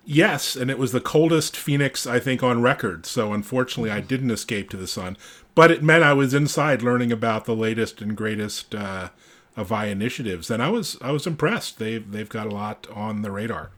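A man's voice: mid-range voice, brisk, a bit bright. A man co-hosting a podcast in casual banter, with a wry, joking manner. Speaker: podcast co-host.

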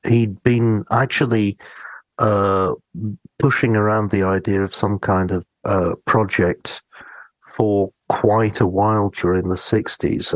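A man's voice: fairly low pitch, no room sound, low-quality recording. A man co-hosting a podcast, talking conversationally and thoughtfully in a hesitant way about a drama. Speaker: podcast co-host. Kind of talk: conversational discussion of a radio drama.

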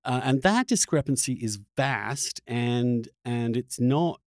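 A man hosting a podcast, asking long, reflective questions in a halting, searching conversational way. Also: mid-range voice, dry recording, clean studio sound. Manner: halting, searching delivery with repeated words. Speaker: podcast host.

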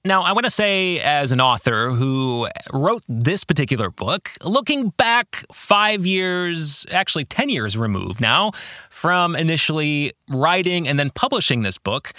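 Expressive, bright-voiced man speaking slowly. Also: on the high side, dry recording, clean studio sound. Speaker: podcast host and author. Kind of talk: reflective book discussion monologue.

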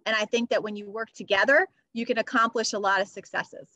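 A woman's voice: mid-range voice, fairly even intonation, brisk, sharp tone, no room sound, lo-fi sound. A woman teacher talking in an earnest, upbeat way as a podcast guest, with many "you know" fillers. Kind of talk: podcast interview. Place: near Philadelphia.

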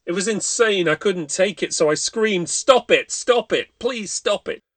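A man's voice: sits high, moderate pace, fairly bright tone, no echo, pitched above the performer's own voice. A man voicing a panicked young man haunted by a ghost, breathless and pleading. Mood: frantic.

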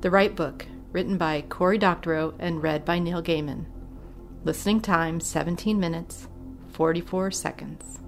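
A woman's voice: fairly low pitch, fairly even intonation, moderate pace. A woman reading scripted podcast announcements as a co-host. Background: music.

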